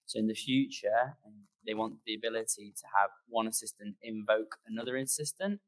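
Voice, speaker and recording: A man's voice: lowish voice, a little fast, rough recording. A man speaking as a conference presenter in an informal, conversational way, explaining things loosely and taking audience questions.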